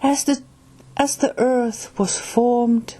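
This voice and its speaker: A woman with a Finnish accent, Finnish being her first language, reading aloud from a spiritual book in a careful, halting way and restarting on hard words. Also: a little high, flat delivery, dark tone.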